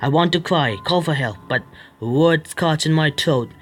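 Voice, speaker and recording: A man streaming a horror visual novel, reading its text aloud haltingly, stumbling over words and breaking off to complain at the game. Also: a little high, fairly bright tone, soft background music. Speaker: gaming streamer.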